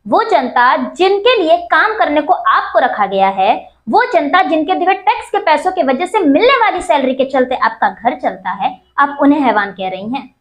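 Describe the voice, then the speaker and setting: Female news commentator, high-pitched, accusatory and rhetorical delivery, reverberant sound. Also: expressive, moderate pace, few pauses. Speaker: news reporter and presenter. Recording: roomy echo on the voice.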